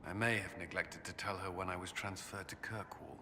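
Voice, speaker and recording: An actor playing an earnest, guarded military commander in a video game, speaking in formal phrases with a burdened sense of duty. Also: male voice, low-pitched, steady tone, a little fast, bright, forceful tone, big-room sound, slight background noise, full character voice. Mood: reserved.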